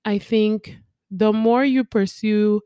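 A woman's voice: medium pitch, fairly even intonation, slow, mellow, smooth, dry recording, studio quality. A young woman who grew up between Congo and South Africa, co-hosting a casual podcast conversation and reflecting in long, flowing thoughts. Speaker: podcast co-host.

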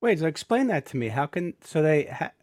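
A man hosting an interview podcast, halting and repeating words as he frames his questions. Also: fairly high pitch, sing-song, a little mellow. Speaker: podcast host. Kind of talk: interview with a guest.

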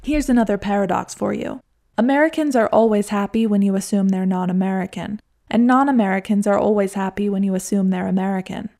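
A man's voice: high voice, steady tone, vocal fry, a little mellow. A woman reading as a chatty young man. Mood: friendly. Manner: chatty, friendly, quick to laugh. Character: eager talkative young man traveler.

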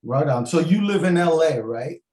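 A man's voice: a little high, animated, moderate pace, darkish tone. A man hosting a podcast, talking loosely and enthusiastically, with stammering restarts. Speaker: podcast host. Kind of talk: casual podcast chat. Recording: roomy echo.

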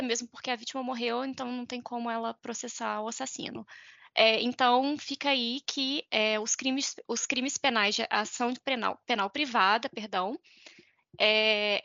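A woman's voice: on the high side, creaky, sharp tone, no room sound. A woman with a Brazilian Portuguese accent explaining law informally, hesitating and correcting herself as she goes. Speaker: podcast panelist explaining law. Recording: dull lo-fi, dry close sound.

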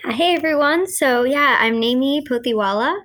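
A woman's voice: high voice, moderate pace, fairly bright tone. A twenty-year-old American woman from North Carolina, a student giving a personal account as an interview guest. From North Carolina, USA.

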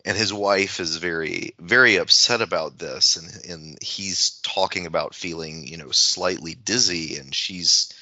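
A man chatting casually about literature as a podcast panelist, with a halting, anecdotal delivery. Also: low-pitched, pushed and bright, no echo, highs cut off.

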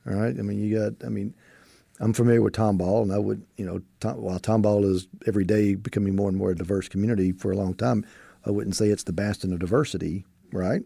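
A man's voice: on the low side, fairly even intonation, fast, vocal fry, warm tone, husky, no echo. A man hosting a panel conversation with superintendents, talking loosely with frequent restarts. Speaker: interview host. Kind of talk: panel discussion.

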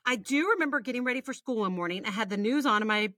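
A woman's voice: a little high, lively intonation, fast, a bit bright. An American woman in her forties, a podcast co-host reading a personal story aloud with candid, wry, chatty asides and casual swearing. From Texas, United States. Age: 40s.

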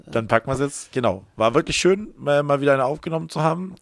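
A man's voice: fairly high pitch, expressive, fast talker, creaky, a little hiss or hum. A man co-hosting a podcast in casual banter, reacting playfully with short quips. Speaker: podcast co-host.

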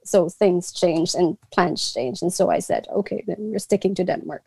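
A woman's voice: fairly low pitch, steady tone, normal speed, vocal fry, warm tone, husky, low-quality recording. A woman in her thirties from the Philippines, a guest in a conversational interview, speaking hesitantly with frequent restarts. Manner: hesitant, many restarts and fillers.

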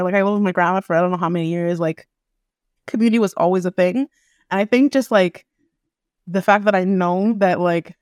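A woman's voice: on the low side, dry recording, clean studio sound. A young woman from Canada and the Caribbean, chatting casually on a podcast, laughing often and filling her speech with "like". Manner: laughs often, many filler likes. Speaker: podcast guest. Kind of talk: casual group conversation.

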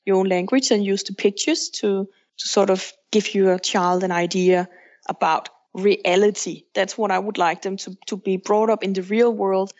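A woman's voice: mid-range voice, level tone, moderate pace, darkish tone, muffled recording. A woman from Denmark with a Danish accent, speaking English as her second language, frankly answering questions as a guest author in a remote podcast interview. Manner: frank, candid, plainspoken.

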